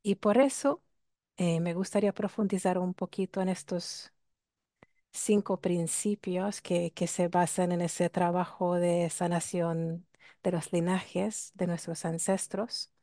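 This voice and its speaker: A woman with a Hungarian accent speaks in a fairly low, warm, mellow voice. She explains things reflectively and poses rhetorical questions to her listeners. The sound is dry and close, with almost no room echo. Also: steady tone, a little slow. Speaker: workshop speaker. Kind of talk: talk on ancestral healing.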